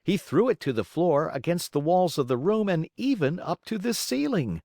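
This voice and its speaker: A man's voice: on the high side, sing-song, moderate pace, a little mellow, no echo, studio quality. A man narrating a children's story as an audiobook reader, in a theatrical, emphatic way.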